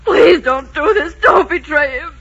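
A woman's voice: high voice, a little slow, fairly bright tone. A woman playing a passionate, desperate young innkeeper's daughter, pleading emotionally in 1940s radio melodrama style. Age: young adult. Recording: dull, scratchy 1940s radio recording.

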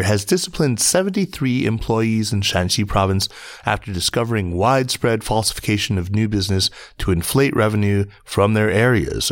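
A man reading scripted business news as a podcast host, in a measured, formal newsreader delivery. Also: on the low side, no room sound, studio quality. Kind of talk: scripted news narration, reading articles aloud.

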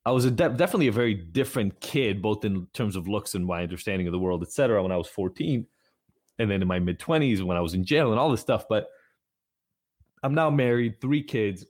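A man's voice: on the low side, lively intonation, fast, darkish tone. A man in his forties from Israel, talking candidly and rambling in a casual conversation, swearing freely. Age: 40s.

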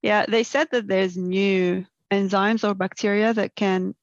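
A woman's voice: medium pitch, steady tone, a little slow, no echo. Woman explaining with many ums. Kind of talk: casual science chat. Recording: dull lo-fi sound.

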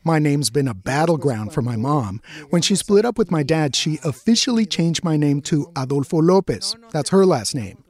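A man's voice: fairly high pitch, expressive, normal speed, hardly any pauses, darkish tone. A middle-aged man from Mexico, a native Spanish speaker, narrating a radio feature in a wry, self-mocking way. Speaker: radio reporter.

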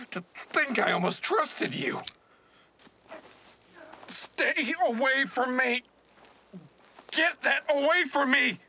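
A man's voice: sits high, bright, forceful tone, a little hiss or hum, full character voice. A man playing a wary, bitter young outsider in an audio drama, edgy and confrontational.

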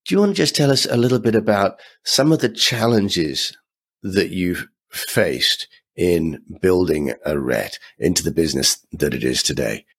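A man with a very deep, husky voice hosts an interview podcast. He talks in a friendly, conversational way. He often drops in casual fillers as he asks his questions. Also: lively intonation, normal speed. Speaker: podcast host.

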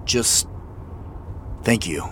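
A man's voice: very slow, fairly bright tone, slight background noise. An actor playing a subdued, guilt-ridden man, hesitant and sheepish, in a naturalistic audio drama. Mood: subdued, apologetic.

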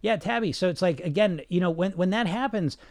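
A man's voice: high voice, fairly quick, no room sound, clean studio sound. A man hosting a podcast as a weight-loss coach, talking in a casual, emphatic way with lots of "you know" fillers. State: sniffling. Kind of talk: casual monologue.

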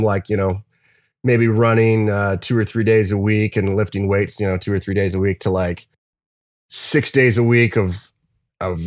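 A man's voice: lowish voice, no room sound, clean studio sound. A man talking as a guest in a casual interview, candid and rambling, swearing now and then. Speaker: guest drummer.

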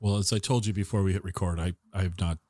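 An American man hosts a relaxed, conversational interview in a fairly low voice. He rambles, often breaking off and rephrasing his own sentences. The sound is dry, with very little room echo. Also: fast talker. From Tacoma, Washington, United States. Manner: rambling, self-interrupting questions and anecdotes. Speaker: interview show host.

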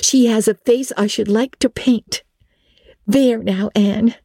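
A woman's voice: a little high, fairly even intonation, slow pace, mellow, slightly rough, no room sound, clean studio sound, voice pushed up, heavily acted. A woman voicing a chatty, romantic teenage girl who gushes eagerly, in a storybook reading. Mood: eager, admiring.

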